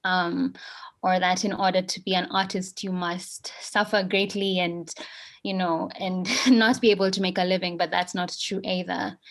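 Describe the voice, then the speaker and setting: A young adult woman, answering interview questions in a measured way with frequent pauses. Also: fairly low pitch, little pitch movement. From Kenya. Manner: measured, pausing often with ums. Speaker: guest artist.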